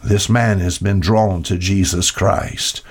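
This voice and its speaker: A man preaching an expository sermon, emphatic, with dramatic pauses and rhetorical questions. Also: low voice, fairly even intonation, slightly rough. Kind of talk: expository sermon, reading and explaining Bible verses.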